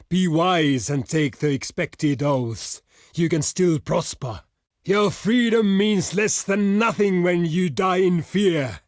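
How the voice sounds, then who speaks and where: An actor playing a proud, stern barbarian envoy in a video game, speaking in a solemn, formal and declamatory way. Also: male voice, fairly high pitch, dry recording, clean studio sound. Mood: threatening. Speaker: diplomat character in a strategy game. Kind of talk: theatrical video game voice lines.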